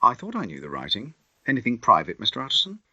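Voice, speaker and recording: A man's voice: medium pitch, wildly swooping pitch, quick pace, pushed and bright, no room sound, highs cut off, heavily acted. A man voicing a deferential, observant law clerk in an audiobook, with polite, formal and hesitant speech. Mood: polite, curious. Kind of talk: audiobook character acting.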